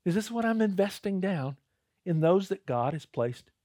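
A man's voice: high-pitched, animated, normal speed, mellow, no echo. Man preaching, dry and close. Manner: conversational preaching, addresses crowd as "guys", repeats words when starting. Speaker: pastor. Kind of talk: sermon.

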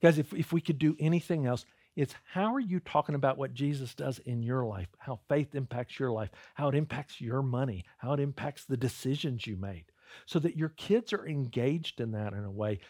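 A man's voice: medium pitch, expressive, normal speed, a little mellow, no echo, studio quality. A male pastor giving a conversational sermon, calling his listeners "guys" and sometimes repeating words as he starts a thought.

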